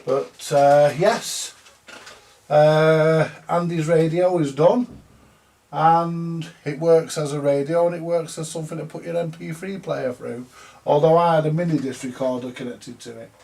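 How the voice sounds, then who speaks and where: Bloke rambling casually, bit higher pitched, lots of creak in the voice. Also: male voice, a little mellow. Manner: colloquial, chatty, tag questions. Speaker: vintage radio repairer, video host. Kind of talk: casual rambling vlog chat.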